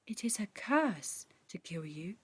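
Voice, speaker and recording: A woman voicing a small, anxious fairy sprite in a children's story, pleading and urgent with hushed, dramatic warnings. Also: mid-range voice, big pitch swings, a little slow, husky. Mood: ominous.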